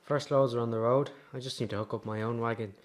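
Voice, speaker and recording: A man's voice: mid-range voice, fairly even intonation, fast talker, natural voice. Subdued, polite circus hand , a man acting. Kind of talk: radio drama acting.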